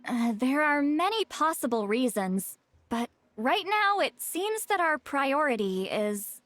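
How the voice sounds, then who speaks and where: A composed young scholar in a video game. Her voice is high and lively in pitch, yet she takes her time. She phrases things precisely and with calm authority. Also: no echo, heavily acted. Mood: brisk, composed. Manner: measured, precise, articulate. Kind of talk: video game character acting.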